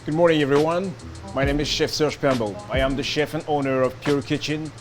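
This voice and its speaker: A man from France with a French accent, speaking as a chef giving a casual cooking demonstration in a kitchen. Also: fairly high pitch. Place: restaurant-style kitchen. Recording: noisy kitchen ambience.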